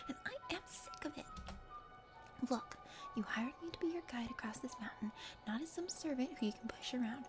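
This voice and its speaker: Woman acting a stubborn, high-voiced female mountain guide. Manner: indignant, scolding. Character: exasperated young woman mountain guide, stubborn, plain-spoken. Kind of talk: dramatic game character reading. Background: music.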